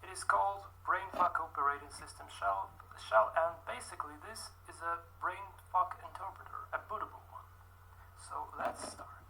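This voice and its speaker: A man narrates a coding tutorial in a careful, rehearsed manner. The clip is older footage played back, and clear hiss sits under it. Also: a little high, lively intonation, moderate pace, sharp tone, poor audio. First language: Russian.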